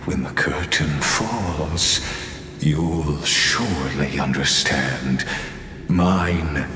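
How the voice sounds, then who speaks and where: An actor playing a lofty, bitter scholar mastermind in a game cutscene, speaking in a slow, solemn, measured monologue. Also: male voice, extremely low pitch, exaggerated voice. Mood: impassioned. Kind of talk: video game cutscene voice acting. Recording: echoey hall reverb with music behind.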